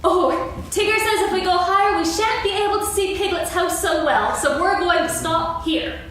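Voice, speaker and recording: A woman playing a small, eager, childlike animal with an excitable manner in a staged reading of a children's play. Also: very high voice, normal speed, background noise. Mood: excited. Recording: echoey hall recorded from far off.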